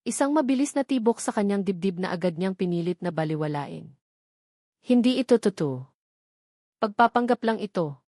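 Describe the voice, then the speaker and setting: A woman narrates a scripted audio drama. Her voice sits at a medium pitch. The sound is very dry, with no room on it. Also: expressive, normal speed, long pauses, mellow, no room sound.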